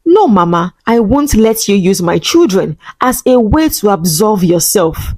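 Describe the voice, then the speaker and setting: A woman acts out a wronged young mother in a storybook drama. She is tired and hurt but firm and reproachful, at a medium pitch in a very dry recording. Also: big pitch swings, measured pace, darkish tone, no echo. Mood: stern.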